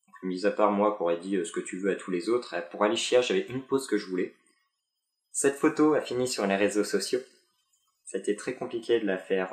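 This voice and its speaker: Young man, lowish voice, casual vlog chat, hesitant fillers, roomy echo. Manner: hesitant, many fillers and restarts. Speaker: vlogger. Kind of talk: casual chat about a fan convention.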